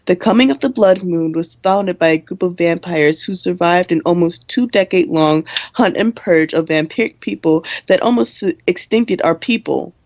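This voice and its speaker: Woman acting a dry sensible vampire schoolgirl radio host, lowish voice, kinda muffled roomy recording. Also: normal speed, few pauses, darkish tone, natural voice. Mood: matter-of-fact. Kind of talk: amateur radio play, mock school broadcast.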